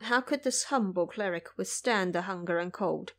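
A woman's voice: lowish voice, moderate pace, lightly acted. Woman as Buddhist monk, male, anxious, plaintive, formal, audio drama. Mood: worried. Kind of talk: audio drama character acting.

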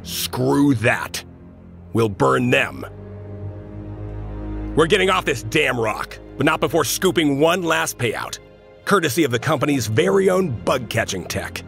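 An actor playing a gruff, defiant mercenary squad leader in a video game, with a sardonic, blunt way of talking. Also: male voice, medium pitch, big pitch swings, a little slow, long pauses, a bit bright, exaggerated voice. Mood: sardonic, scheming. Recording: game music behind the voice.

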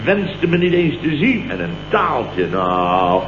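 An actor from the Netherlands playing a blustering, indignant company boss in a radio comedy, telling tales in clipped, mock-dramatic sentences with a Dutch accent. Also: male voice, a little high, sing-song, slow. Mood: mock-dignified. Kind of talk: 1960s-70s radio comedy acting. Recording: muffled old radio recording, noisy, roomy, hum.

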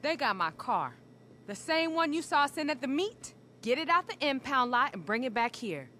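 A teasing, tough American crime boss for a video game. Her voice is high, and her delivery is sassy, slangy and self-assured. Light noise sits behind her. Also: very animated, normal speed, sharp tone, full character voice. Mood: demanding. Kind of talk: video game cutscene acting.

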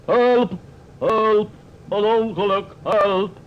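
Man putting on a high-pitched frightened baker. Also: fairly even intonation. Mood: alarmed. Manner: loud drawn-out exclaiming. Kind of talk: Dutch radio play acting. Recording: dull, noisy old radio recording.

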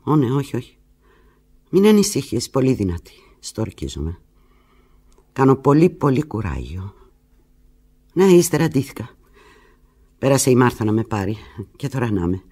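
An actress playing an anguished, lovelorn woman alone on the telephone in a theatrical radio monologue, pleading and fretful, with halting replies. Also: extremely low pitch, sing-song, dark tone, slightly rough, exaggerated voice. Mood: subdued, tender.

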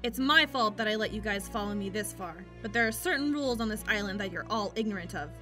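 A woman's voice: medium pitch, fast, music in the background, lightly acted. A woman playing a scornful young female thief in a manga dub, speaking in a cold, firm, threatening way. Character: hard, scornful young woman thief hiding her care. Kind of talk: dramatic manga dub acting.

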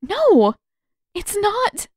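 A woman's voice: very high voice, wildly swooping pitch, drawn-out words, a little mellow, no echo, clean studio sound, pitched above the performer's own voice, exaggerated voice. A woman voicing an upset, emotional teenage girl in an audiobook story. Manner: upset, bursting out. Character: distraught, emotional teenage girl with magical powers.

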